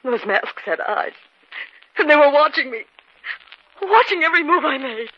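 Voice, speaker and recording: An actress playing a frightened, near-hysterical wife in a 1950s radio drama, with halting, repetitive phrasing. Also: female voice, high voice, animated. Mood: shaken. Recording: dull 1950s radio recording.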